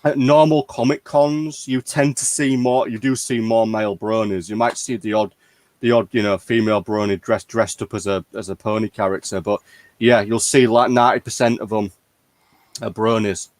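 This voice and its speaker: Male fan-chat host, average pitch, informal delivery, very dry recording. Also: steady tone, moderate pace, no room sound. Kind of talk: casual chat.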